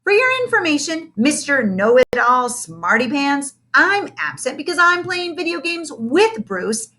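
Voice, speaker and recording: A pushy young schoolboy from a children's storybook, voiced by a woman. The voice is high, bright and loud, hollering insistently with big jumps in pitch. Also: very animated, a little slow, exaggerated voice. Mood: indignant. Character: brash, pushy fourth-grade boy eager to copy excuses.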